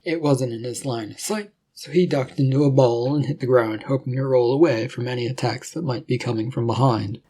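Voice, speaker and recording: Husky, mellow male story narrator. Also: mid-range voice, fairly quick, hardly any pauses. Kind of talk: scripted fiction narration.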